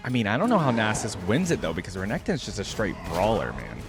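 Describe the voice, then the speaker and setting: A man's voice: lowish voice, huge pitch swings, fast talker, over music. A man giving casual, informal reaction commentary as the host of a gaming channel, thinking aloud as he goes.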